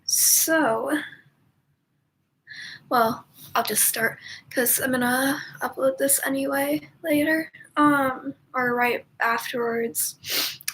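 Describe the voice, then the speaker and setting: A woman's voice: high-pitched, steady tone, slow pace, fairly bright tone, roomy sound, slight background noise, low-quality recording. A young woman with a stuffy, congested nose, chatting casually and rambling as she leads a stretching class from home. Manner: chatty and rambling, many ums, laughs easily. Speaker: stretching instructor. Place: home room, indoors.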